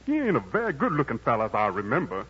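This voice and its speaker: A brash, boastful prizefighter in an old radio drama. His husky voice is bright and very animated in pitch. The broadcast is dull and lo-fi, with a long reverb tail. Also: fast talker, heavily acted. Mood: teasing. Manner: brash, taunting, folksy. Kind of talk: 1940s radio acting.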